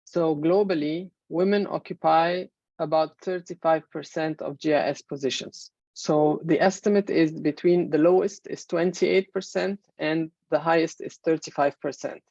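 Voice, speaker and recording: A woman in her thirties from Egypt, a native Arabic speaker, giving a measured presentation in an online webinar with many fillers. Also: low voice, steady tone, creaky, warm tone, no echo. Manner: measured, explanatory, frequent fillers. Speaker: main speaker. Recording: dull, muffled webinar audio.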